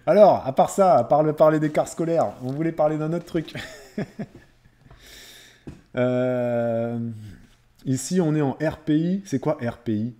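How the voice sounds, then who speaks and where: A man's voice: fairly high pitch, measured pace, long pauses, dark tone. A man chatting casually as a live streamer, replying to viewers in a conversational way and repeating phrases for emphasis.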